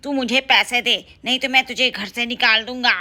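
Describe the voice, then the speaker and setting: A woman's voice: a little high, fairly even intonation, normal speed, bright, forceful tone. A woman voicing a lazy, drunken male crow husband who bosses his wife around in a harsh, demanding way. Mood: bossy.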